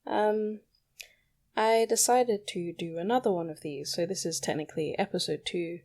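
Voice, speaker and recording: A woman's voice: fairly low pitch, moderate pace, darkish tone. A young adult woman hosting a solo podcast in a casual, candid, slightly exasperated rambling style. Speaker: podcast host.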